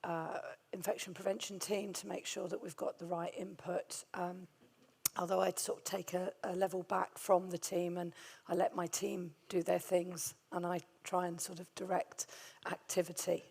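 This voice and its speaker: A middle-aged woman with a hoarse, gravelly voice from a vocal cord nodule, giving a conference talk on hospital infection control with many hesitant ums and a friendly, self-deprecating manner. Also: lowish voice, flat delivery, moderate pace, darkish tone. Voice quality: gravelly, strained voice. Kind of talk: conference presentation.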